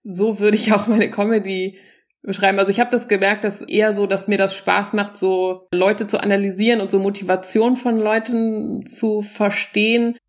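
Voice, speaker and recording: A woman's voice: mid-range voice, little pitch movement, moderate pace. A woman in her forties, a stand-up comedian, talking loosely and casually about her work and mixing in English words. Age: 40s. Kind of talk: casual interview talk.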